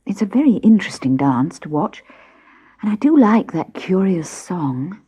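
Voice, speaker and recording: A woman's voice: on the low side, big pitch swings, normal speed, mellow, slightly rough, pitched above the performer's own voice. Woman doing a polite little girl voice, curious, bouncy.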